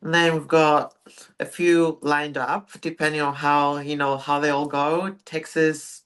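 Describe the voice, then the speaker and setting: Soft-spoken woman. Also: low voice, level tone, measured pace, clean tone. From Greece. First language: Greek. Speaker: guest, pro bodybuilder. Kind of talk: casual interview.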